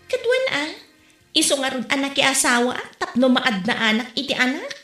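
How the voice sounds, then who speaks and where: A woman with an Ilocano accent plays a playful, teasing mother in a comedy skit, laughing as she needles her son. Her voice sits very high and bright, and it swings widely in pitch. The recording has a noticeable room echo. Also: big pitch swings, brisk, faint music behind. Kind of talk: comedic dialogue acting.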